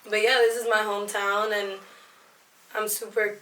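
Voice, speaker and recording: A young woman from Virginia who grew up speaking both Spanish and English. She is a hip hop and R&B artist talking casually at a lounge venue, in a relaxed and upbeat way with plenty of slang. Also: medium pitch, moderate pace, distant mic, a little hiss or hum. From Alexandria, Virginia. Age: young adult. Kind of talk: casual interview. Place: busy lounge venue before a show. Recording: roomy venue sound, from off-mic.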